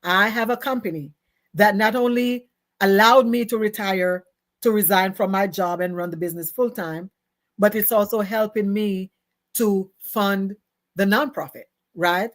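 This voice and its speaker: A woman's voice: medium pitch, steady tone, unhurried. A woman hosting a talk show, chatting in an upbeat, casual way and laughing often.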